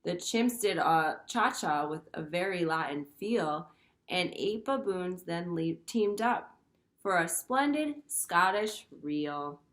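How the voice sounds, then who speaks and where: A woman teacher reading a picture book aloud to children, expressive and pausing to explain things simply. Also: fairly low pitch. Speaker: primary school teacher. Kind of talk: read-aloud to children with commentary.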